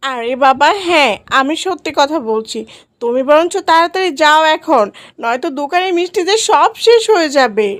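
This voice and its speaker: A woman's voice: sits high, lively intonation, moderate pace, no echo, clean studio sound. A woman playing a cruel, scheming stepmother in a cartoon, commanding and wheedling. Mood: coaxing. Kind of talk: cartoon story voice acting.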